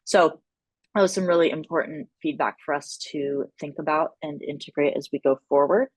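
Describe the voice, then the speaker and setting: Woman, workshop presenter, lowish pitch, hesitant measured phrasing, informal delivery, very little room echo. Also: slightly rough. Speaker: workshop presenter on an evaluation team.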